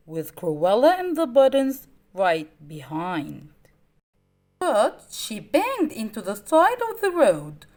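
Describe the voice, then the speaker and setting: A woman reading a children's story aloud in an expressive, dramatic way. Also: fairly high pitch. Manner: expressive, dramatic, deliberate phrasing.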